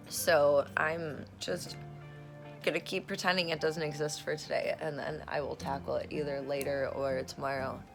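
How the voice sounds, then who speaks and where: A woman vlogging at home on her phone, her voice hoarse and rough, chatting in a meandering way with lots of fillers and casual swearing. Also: low-pitched, bright, forceful tone. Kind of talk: casual rambling vlog chat. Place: at home, in a room being turned into an office. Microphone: phone camera recording herself.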